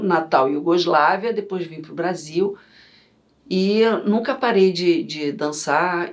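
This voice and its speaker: A middle-aged woman from Yugoslavia, chatting as an interview guest and telling stories in an animated way. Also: sits low. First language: Serbian. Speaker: guest, vocal coach. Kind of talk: casual interview chat.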